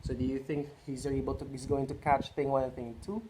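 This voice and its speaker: A man's voice: mid-range voice, little pitch movement, fairly quick, noisy background. A man reading a storybook aloud to children, with chatty asides and questions to the young listeners.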